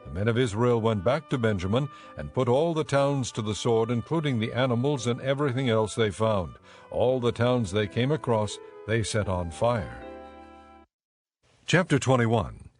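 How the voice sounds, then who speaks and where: A man's voice: medium pitch, expressive, normal speed, soft background music. A man reading scripted dramatic Bible narration as the narrator.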